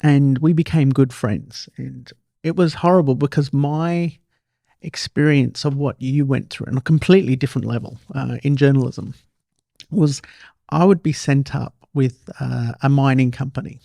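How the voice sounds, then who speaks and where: A man's voice: mid-range voice, normal speed, creaky, mellow, dry recording. An Australian man hosting a podcast, chatting casually in a conversational interview. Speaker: podcast host.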